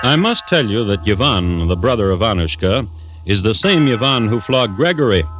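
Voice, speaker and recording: An actor playing the narrator of an old radio drama, a wry storyteller with a conversational, deadpan manner. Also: male voice, fairly low pitch, moderate pace, music in the background. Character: wry, unhurried male storyteller of a macabre tale.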